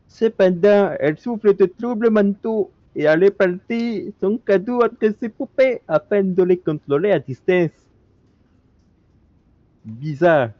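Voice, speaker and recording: A man's voice: high voice, dry recording, lo-fi sound. A male streamer reading in-game documents aloud during a co-op session, stumbling over words and breaking off into joking asides.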